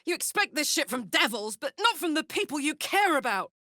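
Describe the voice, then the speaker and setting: A woman voicing a tough, playful, warm-hearted tiefling warrior woman in a video game, talking bluntly and colloquially with plenty of swearing. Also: high voice, sing-song, fairly quick, no echo, studio quality, exaggerated voice. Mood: furious. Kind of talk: video game character voice lines.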